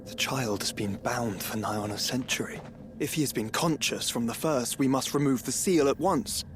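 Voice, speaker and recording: A man's voice: medium pitch, normal speed, noisy background, close to an everyday voice. A man playing a stern warrior ally in a video game, with formal, old-fashioned diction. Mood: urgent, stern. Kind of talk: video game cutscene acting. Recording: prominent music behind the voice.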